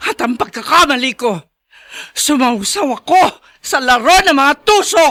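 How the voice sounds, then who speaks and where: A woman's voice: high-pitched, expressive, normal speed, pushed and bright, no room sound. An actress playing a bitter, accusing woman in an impassioned radio drama style. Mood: bitter, anguished. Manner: impassioned, emphatic delivery. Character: bitter, accusing woman drawn into dirty politics. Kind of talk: Tagalog radio drama acting.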